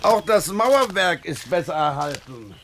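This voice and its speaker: Lively, dryly witty gentleman husband. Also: fairly high pitch, a little slow, a little hiss or hum, exaggerated voice. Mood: wry amusement. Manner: urbane, wry, explanatory. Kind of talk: German radio drama acting.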